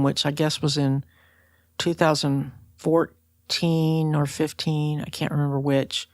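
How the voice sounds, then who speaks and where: A woman's voice: sits low, no room sound, studio quality. A woman talking conversationally as a podcast interviewer.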